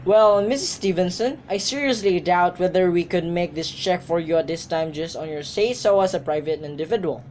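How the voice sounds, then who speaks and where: A woman's voice: fairly low pitch, moderate pace, hardly any pauses, darkish tone, slight background noise, natural voice. A woman playing an officious chief telephone operator in an amateur radio drama, formal and procedural.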